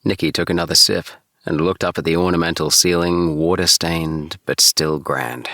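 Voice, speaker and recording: A man narrating an audiobook in a calm, steady reading voice. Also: sits low, moderate pace, vocal fry, no room sound, clean studio sound.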